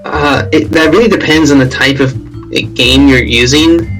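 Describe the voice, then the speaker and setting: A man's voice: mid-range voice, moderate pace, fairly bright tone, soft background music, poor audio. A man co-hosting a podcast in casual chat, with a tentative, hedging way of talking. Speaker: podcast co-host.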